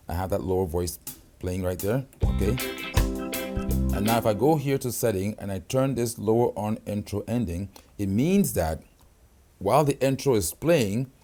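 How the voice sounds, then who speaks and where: A man's voice: deep voice, dark tone. A man giving a hands-on keyboard tutorial, explaining each feature step by step and checking in with the viewer often. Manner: explanatory, checks in with "okay?" and "right?". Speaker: product demonstrator.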